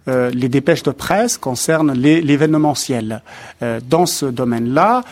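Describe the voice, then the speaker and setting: A man in a studio interview, explaining carefully like an academic. He talks in a measured way, often hesitating and restarting a phrase before finding the right word. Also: medium pitch, darkish tone. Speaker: expert guest on translation.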